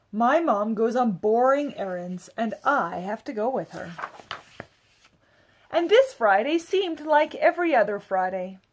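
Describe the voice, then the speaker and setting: A woman reading a children's story aloud with expression. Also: fairly high pitch. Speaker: storybook reader.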